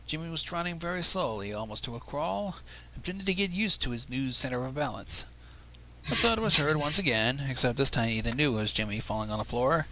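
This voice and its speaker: Casting: man reading fan fiction live, higher-pitched, slightly creaky, irreverent and theatrical, expressive narration. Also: rough recording. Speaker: fan fiction reader on a livestream. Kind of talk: expressive story narration, informal livestream reading.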